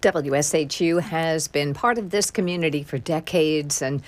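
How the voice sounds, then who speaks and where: Low-voiced woman reading a station promo. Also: moderate pace. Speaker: local station announcer. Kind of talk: scripted promo read.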